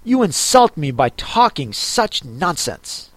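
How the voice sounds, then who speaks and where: A man's voice: a little high, sing-song, slow pace, a bit bright, no room sound, heavily acted. A man voicing a touchy, offended mouse in an audiobook, curt in manner.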